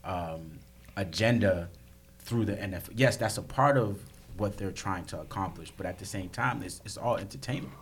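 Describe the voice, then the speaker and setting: A man's voice: on the low side, normal speed, a little hiss or hum. A man in a casual group discussion, bluntly pressing his point with pointed questions.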